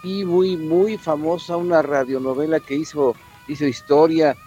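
A man's voice: on the high side, steady tone, normal speed, warm tone, lo-fi sound, faint music behind. A man with a Mexican accent co-hosting a radio show, speaking reflectively in a hesitant, wordy and somewhat formal way.

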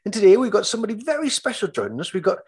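A man's voice: high-pitched, wildly swooping pitch, rapid-fire, darkish tone, breathy edge. A man hosting his own podcast, introducing and interviewing a friend in a friendly, enthusiastic way. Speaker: podcast host. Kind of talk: casual interview.

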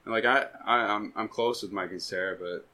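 A young man co-hosting a podcast, chatting casually in a relaxed, rambling, self-deprecating way. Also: on the low side, fairly quick. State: recovering from being sick. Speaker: podcast co-host. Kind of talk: casual chat.

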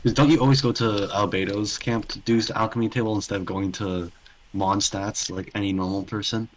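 A man with a fairly low voice chats informally as a co-host. He chimes in with corrections and clarifications in a conversational way. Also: steady tone, brisk, rough recording. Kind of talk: casual chat.

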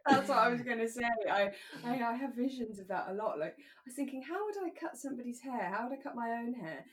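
A woman's voice: fairly high pitch, lively intonation, quick pace. Chatty woman podcast co-host. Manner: chatty, conversational. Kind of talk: relaxed discussion of a radio drama.

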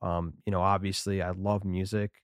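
A man's voice: low voice, level tone, moderate pace, dry recording, clean studio sound. A man in his thirties co-hosting a casual podcast, talking in a laid-back, rambling way with lots of fillers. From Connecticut, USA. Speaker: podcast co-host. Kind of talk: casual chat.

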